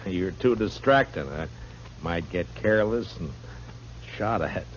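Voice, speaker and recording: A noisy old radio western recording of a world-weary American lawman. His voice is very low, and he talks in a blunt, laconic way with dry humor. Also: lively intonation, a little slow. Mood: guarded, low-key. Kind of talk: 1950s radio western acting. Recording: muffled, noisy early 1950s radio recording.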